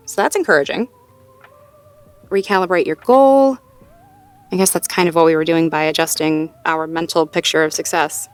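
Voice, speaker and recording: A woman playing a sweet, supportive girlfriend in an intimate audio roleplay, talking in a gently upbeat, conversational way as she thinks aloud. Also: lowish voice, close to an everyday voice. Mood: casual, focused. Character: sweet, supportive girlfriend working through goals with partner.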